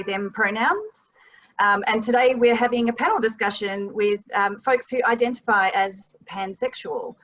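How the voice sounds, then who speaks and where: A person in their thirties with an Australian accent, moderating an online panel informally, with frequent ums and uhs. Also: female voice, medium pitch, a bit bright. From Western Australia. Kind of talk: relaxed, hesitant panel hosting. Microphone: video call. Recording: dull lo-fi video call audio.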